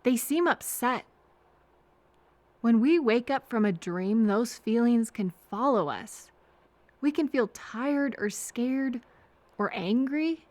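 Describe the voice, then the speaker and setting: A woman acting as a kind female train passenger in an intimate audio roleplay. Her voice is high and soft, and she takes her time, hesitant and reassuring, leaving pauses for replies. The sound is close and dry. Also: dry recording. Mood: worried musing. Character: kind, apologetic woman train passenger comforting a frightened stranger.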